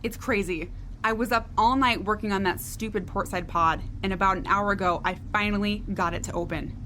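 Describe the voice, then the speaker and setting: A woman's voice: mid-range voice, brisk, bright, forceful tone, a little hiss or hum, close to an everyday voice. A woman playing a weary, blunt spaceship technician in a naturalistic science fiction audio drama. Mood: urgent.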